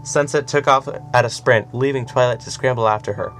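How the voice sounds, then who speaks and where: A man narrating a story in an expressive, animated reading. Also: medium pitch, fairly quick. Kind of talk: audiobook reading of fan fiction. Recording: soft music behind.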